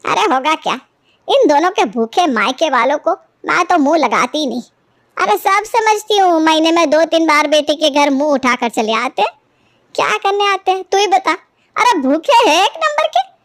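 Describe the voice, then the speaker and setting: A woman's voice: very high voice, sing-song, normal speed, a bit bright, heavily acted. A woman voicing a stingy, scheming older mother-in-law in a cartoon family story, scolding and gossiping indignantly. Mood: scornful gossiping.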